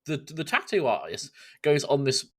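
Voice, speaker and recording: A man co-hosting a podcast, telling things in a casual, animated, jokey way with some swearing. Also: on the high side, moderate pace. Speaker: podcast host.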